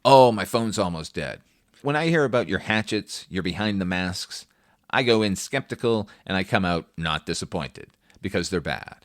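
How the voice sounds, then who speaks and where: A man's voice: sits low, expressive, moderate pace, dry recording, studio quality. A man hosting a film review podcast, delivering a scripted but conversational monologue in an opinionated, wry, casually profane way.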